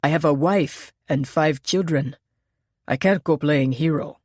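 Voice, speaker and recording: A man's voice: a little high, a little slow, a little mellow, no echo, studio quality. A woman voicing a cautious male cab driver with a lilting way of speaking. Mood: apologetic. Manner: lilting accent.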